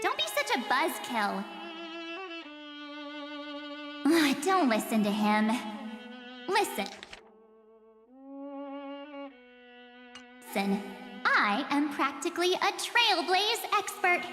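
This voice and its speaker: Very high, bubbly adventurer girl. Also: a little slow. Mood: playful, coaxing. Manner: playful, expressive, dramatic. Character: bubbly, boastful young adventurer girl, playful and sentimental. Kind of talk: English video game voice acting. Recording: echoey reverberant hall with music. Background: music with strings.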